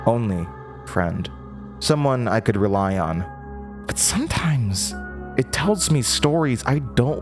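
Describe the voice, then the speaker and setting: Male actor as haunted young woman, horror audio drama, slow pace, halting, brooding, obsessive, lonely, faint music underneath. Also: mid-range voice. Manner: halting, stammering, brooding, intense.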